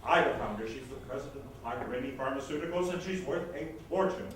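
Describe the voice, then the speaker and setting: A man's voice: mid-range voice, animated, quick pace, lightly acted. A man playing a pompous, scheming theatre producer in a screwball comedy reading, grandiose and theatrical in manner. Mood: impatient, insistent. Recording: very echoey hall, far microphone, noise.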